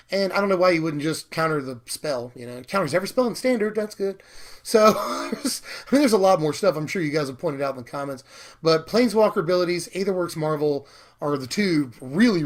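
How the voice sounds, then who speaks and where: A young man with a fairly high voice gone slightly hoarse talks eagerly about new cards. He rambles casually, full of fillers and quick bursts of excitement. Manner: enthusiastic, talkative, fillers and laughs. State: hoarse, losing his voice. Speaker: card game video host.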